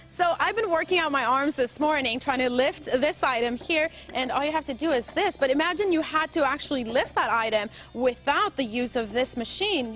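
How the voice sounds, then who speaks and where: Brief: young woman field reporter, bubbly live TV style, high voice, soft music behind. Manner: bubbly, chatty. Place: large indoor museum hall. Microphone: handheld mic.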